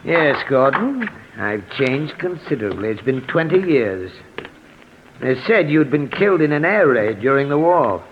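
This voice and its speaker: An actor in a 1940s radio drama playing an embittered, irascible rich recluse, muttering and theatrical. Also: male voice, medium pitch, big pitch swings, measured pace. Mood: grim, sorrowful. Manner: crotchety, theatrical muttering and outbursts. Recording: muffled, noisy 1940s radio recording.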